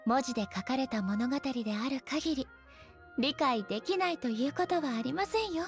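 A dignified female ruler from a fantasy game. Her voice is high and composed, with a measured, formal politeness. She sounds earnest and a little naive, over soft background music. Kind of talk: Japanese game voice acting.

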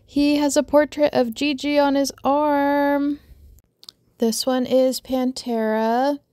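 A young woman chatting casually as she shows off pet snakes outdoors on a backyard deck, speaking in an unhurried, affectionate way into a handheld phone camera. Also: high voice, level tone, warm tone, no room sound, studio quality. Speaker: vlogger showing pet snakes. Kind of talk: casual show-and-tell narration.

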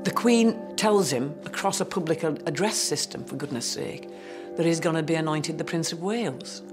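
A woman's voice: low voice, moderate pace, music in the background. A woman commenting in a documentary interview, emphatic and dramatic, stretching out key words.

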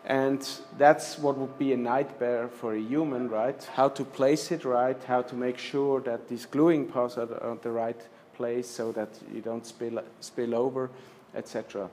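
A man's voice: medium pitch, steady tone, unhurried, few pauses, darkish tone, a little hiss or hum. Man lecturing with hesitant restarts. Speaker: architecture lecturer. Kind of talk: presenting slides in a lecture. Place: lecture room with projector screen.

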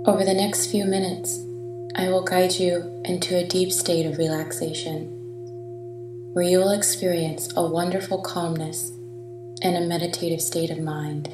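Female meditation narrator, lowish and gentle, very unhurried delivery, reverberant sound. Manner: soothing, gentle, deliberate with long pauses. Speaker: meditation guide. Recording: reverberant, distant-sounding voice over soft music.

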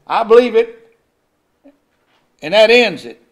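A man's voice: high-pitched, lively intonation, slow pace. An older man, preaching a sermon from a church pulpit with rising emphasis and occasional chuckles. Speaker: pastor. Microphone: pulpit microphone.

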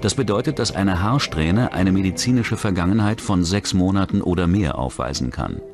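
A man with a very deep voice narrates a true-crime documentary. His delivery is scripted, measured and matter-of-fact, with faint music underneath. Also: expressive.

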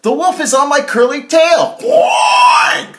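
Shrill, squealing little storybook piglet. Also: male voice, lively intonation, slow, a bit bright, shouting, exaggerated voice. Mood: panicked. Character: small frantic male piglet, squealing and defiant. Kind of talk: storybook character voice.

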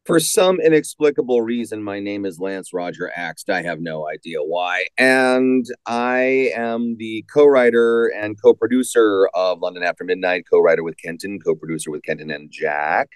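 Low-voiced man in dry close recording. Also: normal speed, few pauses, smooth, dry recording. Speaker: guest, audio drama writer and producer. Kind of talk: casual interview chat.

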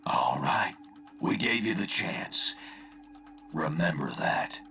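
A gravelly, world-weary spymaster villain. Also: male voice, deep voice, expressive, takes it slowly, sharp tone, faint music behind, full character voice. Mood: cold, threatening. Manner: folksy, gruff, persuasive. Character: gruff, world-weary veteran spymaster turned zealous villain. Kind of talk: video game cutscene acting.

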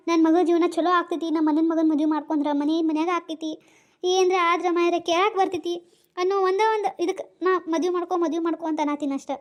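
A middle-aged woman with a North Karnataka Kannada accent, playing an anxious mother who coaxes her daughter and defends herself in a family drama skit. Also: very high-pitched. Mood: defensive. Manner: coaxing, defensive, rapid scolding.

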